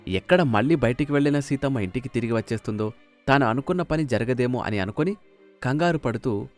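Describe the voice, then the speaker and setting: A man's voice: mid-range voice, a little fast, faint music behind. A man narrating a scripted moral story as a storyteller.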